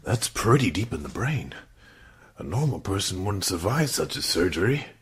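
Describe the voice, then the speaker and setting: A man playing a skeptical, questioning lab colleague in a horror audio drama, with a husky voice. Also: deep voice, sing-song, normal speed, exaggerated voice. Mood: doubtful, concerned.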